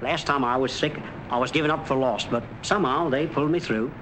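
A man's voice: medium pitch, fairly quick, lightly acted. An actor playing a friendly, folksy, plain-spoken middle-aged working man in a 1940s film. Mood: earnest. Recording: noisy old 1940s film soundtrack.

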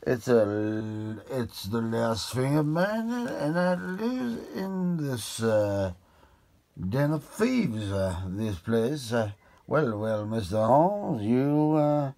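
A man voicing an indignant, boastful American gentleman who raises his voice higher and louder. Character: blustering male American nobleman, quick-tempered.

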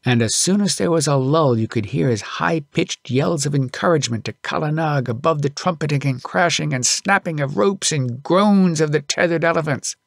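A man narrating an audiobook as an expressive storyteller. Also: fairly high pitch, normal speed, vocal fry, hardly any pauses, no room sound, clean studio sound.

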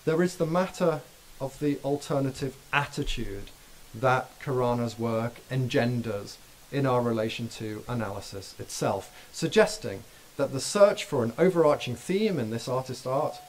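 Male keynote speaker, mid-pitched, measured academic reading, faint hiss. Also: rough recording. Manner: measured, dense scholarly phrasing. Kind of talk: formal academic lecture read aloud. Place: dim lecture hall with projection screen.

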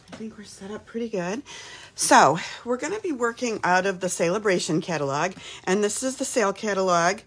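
A woman from Texas in her fifties, slightly hoarse from a cold, chatting casually while hosting a live craft demo from her home craft room. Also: medium pitch. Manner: chatty, upbeat, lots of "um"s.

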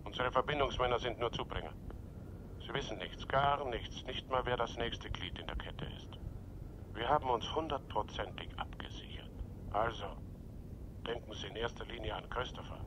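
An actor playing a cold, menacing gang boss in a radio crime drama, giving measured, threatening orders. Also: male voice, lightly acted. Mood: menacing, controlled. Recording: noisy radio drama track with rumble.

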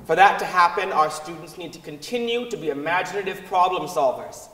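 A man's voice: high voice, lively intonation, a little fast, bright, forceful tone, slight background noise. A man reading a scripted speech dramatically on the stage of a large auditorium. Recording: echoey hall, distant microphone.

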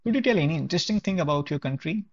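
A man's voice: fairly high pitch, expressive, very fast, muffled recording. A man hosting a podcast, introducing a guest and asking short questions with frequent hums. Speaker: podcast host. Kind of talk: interview questions.

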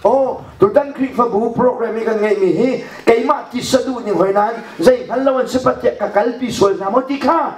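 A middle-aged man preaching a sermon into a handheld mic in a church hall, animated and often laughing. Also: very high voice, brisk, hardly any pauses, crowd noise. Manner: animated, gesturing with one hand, often laughing. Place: church hall with a projection screen. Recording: echoey hall, recorded from far off.

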